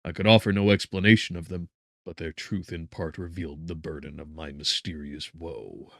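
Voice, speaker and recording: A man narrating a gothic novel as an audiobook, in a solemn, measured and theatrical manner. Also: sits low, vocal fry, a bit bright, no echo, clean studio sound.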